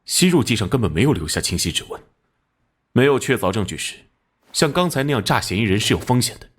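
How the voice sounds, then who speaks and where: An actor playing a hot-tempered but rule-minded police captain in an animated crime drama, blunt and commanding. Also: male voice, medium pitch, quick pace, husky. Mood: exasperated.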